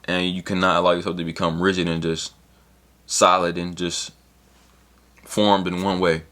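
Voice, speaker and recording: A young man in his twenties talks to camera in a loose, rambling way, full of slang and the odd swear. His voice sits low and deep. It's a casual solo vlog, a motivational monologue. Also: flat delivery, moderate pace, a bit bright. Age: 20s. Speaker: vlogger.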